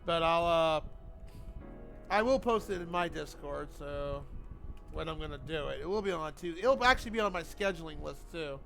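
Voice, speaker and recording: A man's voice: a little high, lively intonation, moderate pace, gravelly, fairly bright tone. A man live streaming a game, chatting in an informal, rambling, sweary way. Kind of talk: casual chat while gaming. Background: video game music.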